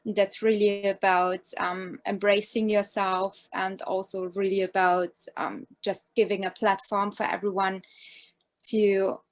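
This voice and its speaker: A woman's voice: medium pitch, level tone, measured pace. A woman from Austria, introducing herself on a panel with hesitant repeats. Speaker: panelist, show producer.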